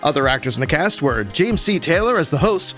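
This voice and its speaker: A man's voice: on the high side, sing-song, fairly quick, fairly bright tone, faint music behind. A man working as an announcer, reading scripted credits.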